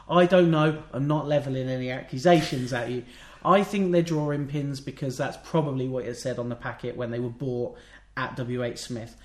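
A British man co-hosting a casual wrestling chat, wry and rambling, with jokey asides. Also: a little high. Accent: British English. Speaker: podcast co-host. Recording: roomy echo.

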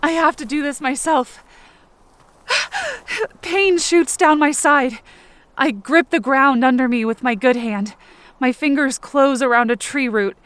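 Woman acting high voiced anxious injured lady stuck in the wilds, telling it in short halting bits, fiction podcast drama. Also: a bit bright, clean tone, no room sound. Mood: strained, determined. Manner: introspective, short halting phrases, present-tense narration. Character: anxious, self-blaming injured woman trapped in the wilderness. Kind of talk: dramatic first-person audio drama narration.

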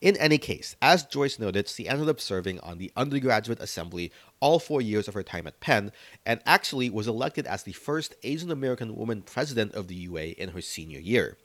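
A man's voice: on the low side, lively intonation, fairly quick. A man hosting a podcast, reading scripted narration that links the interview clips. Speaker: podcast host.

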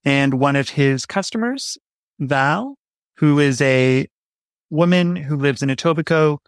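A man talks as a guest in a conversational interview, thinking as he explains. His voice sits at a middle pitch with a creaky, gravelly grain. The sound is dry, with very little room. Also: no room sound. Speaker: audio drama writer, interview guest.

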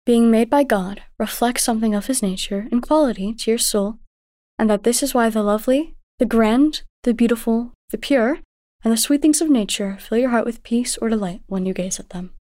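A woman playing a gentle, devout mother in a storybook, speaking in a tender, measured, instructive way. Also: a little high, no room sound, clean studio sound, lightly acted. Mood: devout, calm. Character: gentle, patient, devout mother teaching her daughter.

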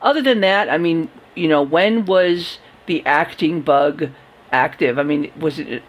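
A woman's voice: low-pitched, a little slow, highs cut off. A woman hosting a conversational interview, asking questions in a casual, informal way. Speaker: host, interviewer.

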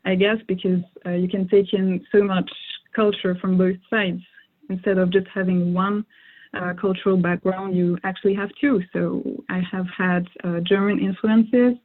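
A young woman whose first language is French, answering interview questions thoughtfully with frequent fillers and restarts. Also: fairly low pitch. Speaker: interview guest. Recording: phone-like narrow sound.

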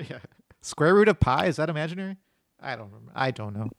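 A man's voice: a little high, lively intonation, fast, a crowd in the background. A man co-hosting a casual podcast, cracking dry, absurd jokes. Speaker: podcast co-host.

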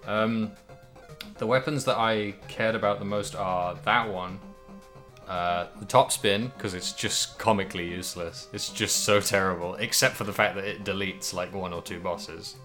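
Casting note: man, low bright voice, loose rambling podcast chat, faint guitar music behind. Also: steady tone, moderate pace. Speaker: podcast co-host. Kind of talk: casual chat about a video game.

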